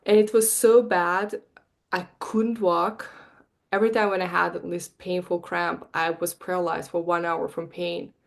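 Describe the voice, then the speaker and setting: A woman in her forties from Bosnia, speaking as a podcast guest, earnest and heartfelt with a slightly halting delivery. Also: on the low side, level tone, gravelly. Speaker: guest, marketing coach and energy healer. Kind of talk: podcast interview.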